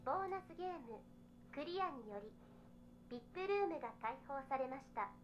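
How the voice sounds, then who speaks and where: A woman's voice: sits high, animated, racing. A woman voicing an impersonal, formal announcement system that reads out the rules in a Japanese game. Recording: dull lo-fi game audio, noisy.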